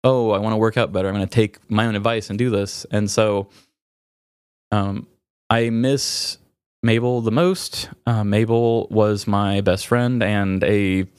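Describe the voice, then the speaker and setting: A male podcast host in candid, reflective conversation. His voice sits fairly low and is recorded very dry. He speaks haltingly, often stopping to search for the right words. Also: steady tone, a little mellow, clean tone, no room sound.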